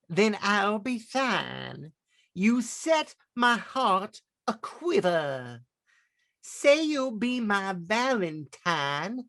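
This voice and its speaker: A smitten, cheeky butcher from a radio comedy, with a high voice that swoops around. He takes his time, coy and chuckling through his flirting. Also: a bit bright, no echo, studio quality, exaggerated voice.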